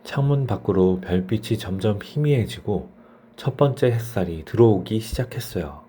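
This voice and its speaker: A man's voice: fairly low pitch, lively intonation, moderate pace, warm tone, slight background noise. A man narrating a bedtime story for children as a storyteller.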